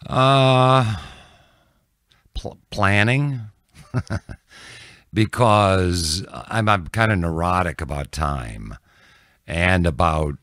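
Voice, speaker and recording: A man in his sixties answering interview questions casually and reflectively, in a halting, candid way with frequent restarts. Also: low voice, expressive, fairly bright tone, dry recording, studio quality. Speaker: news anchor and reporter, podcast guest. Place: small dim indoor podcast room. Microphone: close studio microphone on a boom.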